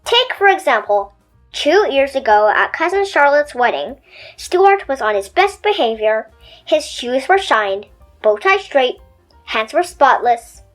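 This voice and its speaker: A woman reading a children's picture book aloud in an expressive, playful storytelling manner. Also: high-pitched, unhurried, faint music behind. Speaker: storybook reader.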